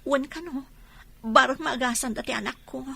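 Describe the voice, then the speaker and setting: A woman's voice: sits high, normal speed, vocal fry, pushed and bright, slightly rough, heavily acted. A woman with an Ilocano accent playing a distressed, prayerful mother of a sick child in a radio drama. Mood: sorrowful.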